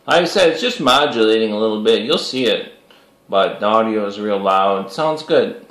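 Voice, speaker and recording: A man's voice: on the low side, lively intonation, moderate pace, heavy background noise. A man chatting casually on CB radio in an informal, slangy way. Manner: informal, peppers talk with "you know" and CB slang. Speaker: CB radio hobbyist recording the video. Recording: echoey room.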